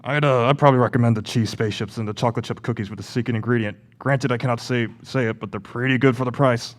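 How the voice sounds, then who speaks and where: A young man plays an offhand store worker in an amateur radio play. His mid-pitched voice is casual and everyday. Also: steady tone, fast, close to an everyday voice. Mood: offhand, puzzled. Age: young adult.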